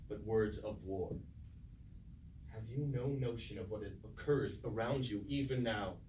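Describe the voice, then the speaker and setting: A man's voice: fairly low pitch, natural voice. An actor playing a grave, humbled, philosophical fallen robot king in stately stage-tragedy declamation. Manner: stately, declamatory, archaic phrasing. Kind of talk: theatrical Greek-tragedy stage acting. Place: indoor room, staged reading at a university. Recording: distant, echoey recording, faint noise.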